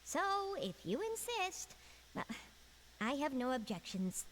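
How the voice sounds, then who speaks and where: A woman's voice: high voice, big pitch swings, a little slow, a little hiss or hum. A woman voicing a small, dutiful, slightly huffy female clerk creature in a video game, prim and formal. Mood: huffy, reluctant. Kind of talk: scripted video game voice acting. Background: soft game music.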